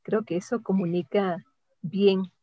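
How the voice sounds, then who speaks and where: A woman co-hosting an interview, speaking in a warm, encouraging way to her guest. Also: medium pitch, steady tone, a little slow, no room sound. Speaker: co-host interviewer. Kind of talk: casual interview chat.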